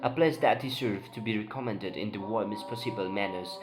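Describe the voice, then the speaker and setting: A man's voice: lowish voice, brisk. Young man reading drama, music behind. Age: young adult. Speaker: student reader of all parts. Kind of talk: amateur dramatic reading of a play. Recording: voice over prominent background music.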